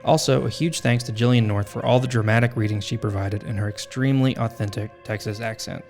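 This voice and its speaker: A man's voice: medium pitch, fairly even intonation, fairly quick, gravelly, darkish tone, faint music behind. A man hosting a podcast, reading scripted narration in a wry, tongue-in-cheek way. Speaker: podcast host.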